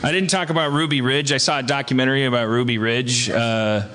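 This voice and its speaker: A man hosting a comedy podcast live, telling rambling unscripted stories in a halting, stammering, sweary way. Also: medium pitch, steady tone, sharp tone. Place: live show before an audience. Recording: noisy live stage recording.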